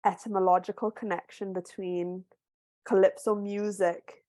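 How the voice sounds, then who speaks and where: Woman from barbados, bit hoarse w a cold, author chatting on a video call, dry sound, lots of little restarts. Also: medium pitch, little pitch movement, unhurried, warm tone, no room sound. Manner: thoughtful, storytelling, many small restarts. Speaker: author guest. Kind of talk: casual book club video call conversation.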